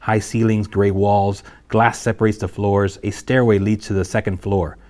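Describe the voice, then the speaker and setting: A man's voice: lowish voice, flat delivery, moderate pace, darkish tone. A man reading scene headings and action lines aloud as the narrator at a screenplay table read.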